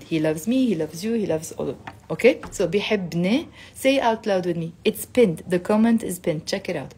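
A woman in her thirties teaching a casual home video lesson, saying phrases slowly and repeating them so learners can copy her. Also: medium pitch, dark tone, husky. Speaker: Arabic teacher. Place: living room at home. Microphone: phone camera at arm's length.

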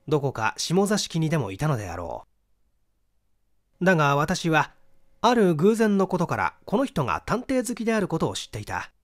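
A man reading a mystery novel aloud as scripted literary narration. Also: on the high side. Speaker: audiobook reader.

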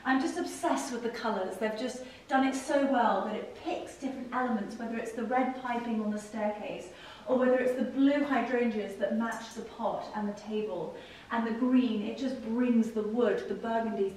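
A young woman with a fairly high voice talks as a lifestyle vlogger showing viewers around. She is effusive and enthusiastic in her delivery. The sound is roomy and comes from a camera a few steps away. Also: a little mellow. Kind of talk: house tour vlog presenting. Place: large rooms inside a house. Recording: roomy, distant camera mic, noisy.

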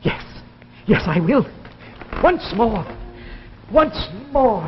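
An actor in his sixties playing a frail, obsessive old inventor father in 1950s television drama, with a halting, theatrical delivery. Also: sits high, sing-song, slight background noise, heavily acted. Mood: hopeful. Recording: muffled old TV soundtrack with music.

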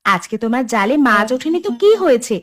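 A woman voicing a high-voiced, sensible village housewife. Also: animated, fast, close to an everyday voice. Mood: reassuring. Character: caring, sensible village housewife advising her husband. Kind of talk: Bengali cartoon voice acting.

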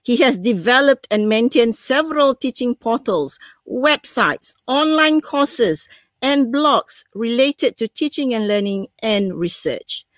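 A middle-aged woman with a Malaysian accent reading a formal, measured introduction as a session moderator. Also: a little high, animated, no echo, studio quality. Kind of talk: formal scripted introduction, read aloud. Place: indoors on a video call.

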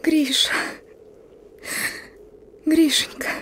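An actress in a radio play voicing a gruff but emotional woman commander of a wartime partisan detachment. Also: high-pitched, fairly even intonation, drawn-out words, a bit bright, heavily acted. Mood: moved, tender. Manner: commanding, urgent, broken phrases.

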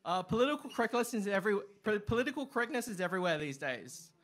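A young man performing open mic stand-up, with a halting delivery full of ums and uhs, on a small club stage. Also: sits high, lively intonation, a bit bright. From Australia. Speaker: stand-up comic. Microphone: stand mic, far camera.

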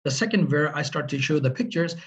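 A middle-aged man with a Swedish accent, presenting on a webinar in earnest, halting speech. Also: fairly high pitch, animated, slightly rough. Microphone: webcam on video call.

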